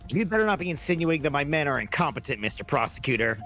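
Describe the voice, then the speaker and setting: A man acting out a gravelly, forceful wolfish agent, proud and protective of his own. The delivery swings freely up and down, played for comedy in a game fan dub, with music behind. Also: fairly high pitch, very animated, fast, exaggerated voice. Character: loyal gruff male wolfish agent, devoted to family.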